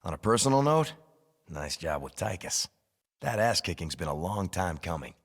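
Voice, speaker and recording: A loyal military captain in a video game. He sounds earnest and disciplined, with a deep voice and some hall reverb. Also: sing-song, moderate pace, husky, heavily acted. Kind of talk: video game cutscene acting.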